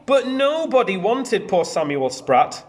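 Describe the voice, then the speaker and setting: A man reads a picture book for young children. His pitch swings widely as he moves through the rhymes in a sing-song teacher's way, breaking off for chatty asides. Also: slow pace, slight background noise. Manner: animated, sing-song rhyming read-aloud. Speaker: primary school teacher reading a storybook.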